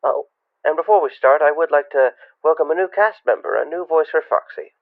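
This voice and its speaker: A man's voice: a little high, brisk, creaky, no room sound. A man giving a scripted show introduction as host, with a radio effect on his voice. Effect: radio filter. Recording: thin, narrow radio-filtered sound.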